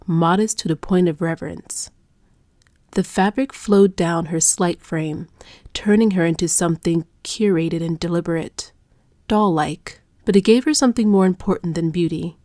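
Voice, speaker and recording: A woman narrating a scripted dark romance audiobook in a measured, hushed, dramatic manner. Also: lowish voice, dry recording, clean studio sound.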